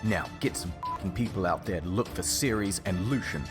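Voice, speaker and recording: A man's voice: sits low, normal speed, music in the background. A man reading a fan fiction story aloud in a playful, dramatic narrating style. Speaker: reaction video host.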